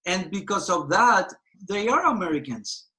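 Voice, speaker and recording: A man with a Puerto Rican Spanish accent talks with passion in an interview and circles back on his own phrases for emphasis. His voice sits high for a man and sounds bright and forward. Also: very animated, normal speed, rough recording. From Puerto Rico. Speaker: guest actor. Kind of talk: earnest interview conversation.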